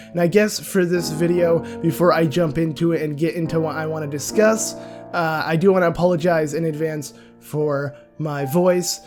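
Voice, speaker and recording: Hoarse, raspy-voiced young man. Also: on the high side. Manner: rambling, with frequent "uh" and self-corrections. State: hoarse, voice nearly gone from illness. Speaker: video game channel host. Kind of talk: casual discussion vlog.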